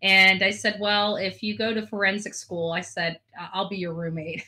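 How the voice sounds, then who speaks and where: A middle-aged American woman with a bright, forward voice at an average pitch. She talks casually and frankly, in informal conversational phrases. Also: level tone, normal speed, poor audio. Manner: frank, informal, self-deprecating. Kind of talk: casual chat. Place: indoors at a desk on a video call.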